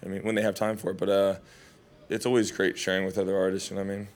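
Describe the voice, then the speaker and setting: A man from Hawaii, an actor, answering questions at a Q&A in loose, rambling, animated anecdotes. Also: low-pitched, rapid-fire. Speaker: actor and film guest at a Q&A. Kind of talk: casual Q&A storytelling.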